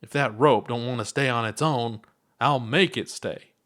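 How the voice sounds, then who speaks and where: A man's voice: medium pitch, moderate pace, fairly bright tone, natural voice. A man voicing a reckless, plucky alien space explorer with a folksy, casual drawl in a storybook reading. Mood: determined.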